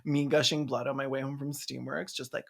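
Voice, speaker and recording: A video essayist giving a sarcastic, comedic movie recap, with snarky deadpan asides and mock-dramatic exclamations. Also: medium pitch, brisk, creaky. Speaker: video essayist, film reviewer.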